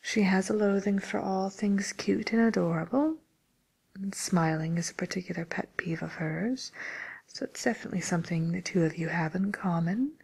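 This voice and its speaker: A woman playing a genteel, businesslike matchmaker for villains in a whispered ASMR role play, murmuring politely and hesitantly in a breathy voice. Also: mid-range voice, moderate pace, a little mellow. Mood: matter-of-fact, discreet.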